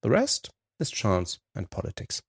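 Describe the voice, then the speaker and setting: A man from Germany, a native German speaker, giving a measured, opinionated scripted commentary. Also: lowish voice, huge pitch swings, creaky, husky. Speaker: psychologist commentator.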